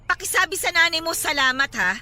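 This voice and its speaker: Very high, sharp, desperate Filipino mother. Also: lively intonation, a little fast, heavily acted. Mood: bitter, sarcastic. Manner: heated, sarcastic, emphatic. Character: desperate, angry young mother confronting her cruel rival. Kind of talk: Filipino radio drama acting. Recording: dramatic music bed behind the voice.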